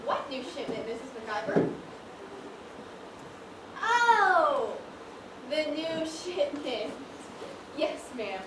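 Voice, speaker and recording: A woman acting an eager, excitable young shop assistant in an amateur stage comedy. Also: high voice, slow, long pauses, exaggerated voice. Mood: puzzled, then eager. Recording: echoey stage from far off, noisy.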